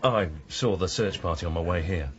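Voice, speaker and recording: A man's voice: sits low, a little fast, highs cut off, natural voice. An actor playing a bitter, sardonic British male vet, a villain in a radio drama. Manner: sardonic, taunting.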